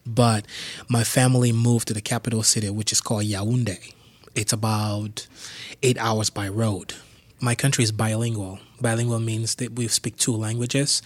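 Husky, low-voiced Cameroonian man. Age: 30s. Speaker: interviewee telling his life story. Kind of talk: personal storytelling.

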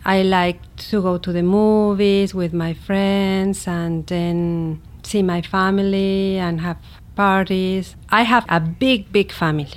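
A middle-aged woman from Mexico City with a Mexican accent, a native Spanish speaker telling her personal story in simple, halting learned English. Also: medium pitch, steady tone. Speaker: interviewee, immigrant teacher. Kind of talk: personal story told in an interview.

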